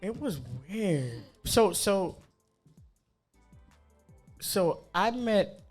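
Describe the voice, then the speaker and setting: A young man from New York, a cook, chatting casually and animatedly with slang and quick asides. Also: high-pitched, big pitch swings, faint music behind. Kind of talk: casual chat.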